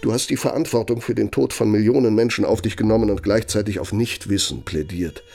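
A man's voice: lowish voice, creaky, dark tone, faint music behind. An actor playing a bitter, sardonic older former right-hand man in a radio play, speaking with needling, threatening emphasis. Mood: scornful sarcasm. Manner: sarcastic, needling, emphatic, drawn-out phrasing for menace. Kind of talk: radio play dramatic monologue.

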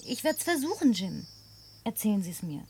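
A probing, insistent survivor. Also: female voice, medium pitch, very animated, normal speed, a little mellow, slight background noise. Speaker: lead character in a dubbed film. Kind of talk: dubbed film acting.

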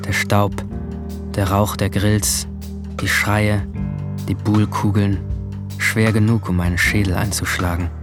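A man's voice: on the low side, little pitch movement, unhurried, pauses often, music in the background, natural voice. A man playing a troubled, brooding seventeen-year-old boy who narrates his own story introspectively in a radio drama. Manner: introspective, literary. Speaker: narrator and lead character.

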